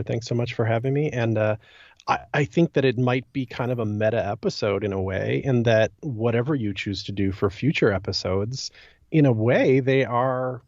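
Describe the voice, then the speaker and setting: A man's voice: medium pitch, darkish tone, no room sound, dull audio. A man chatting casually as a podcast guest. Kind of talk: casual chat.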